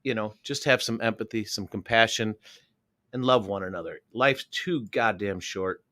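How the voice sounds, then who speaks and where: A man's voice: lowish voice, normal speed, pushed and bright. A man co-hosting a live internet radio talk show, earnest and heartfelt as he gives sincere advice to listeners. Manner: earnest, speaks in sincere pep talks. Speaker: co-host. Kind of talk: casual chat.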